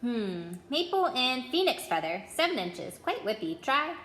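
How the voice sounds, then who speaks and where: A woman's voice: high voice, big pitch swings, a little slow, a bit bright, echoey room, slight background noise, exaggerated voice. A woman voicing an eccentric old male wand seller in a storybook, eager and eerie, musing and repeating words to himself. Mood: brisk, fussy.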